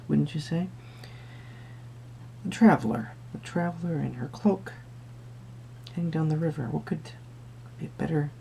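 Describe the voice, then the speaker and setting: A performer playing a gentle, eccentric tarot card reader in an intimate roleplay, musing and hesitant, with a breathy voice that often drops to a whisper. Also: mid-range voice, slight background noise. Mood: encouraging, mysterious. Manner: musing, hesitant, softly trailing off.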